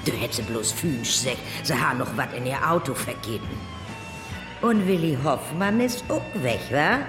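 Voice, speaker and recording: Nosy village woman, broad Northern German dialect, animated, echoey radio drama with music. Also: very deep voice, measured pace. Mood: gossipy, knowing. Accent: Northern German, Low German. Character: gossipy village local speaking broad Low German dialect. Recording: echoey hall, music behind.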